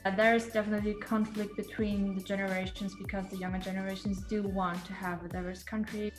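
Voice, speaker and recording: A woman's voice: medium pitch, level tone, quick pace, echoey room, music in the background. A woman from Germany giving an earnest, explanatory video testimonial.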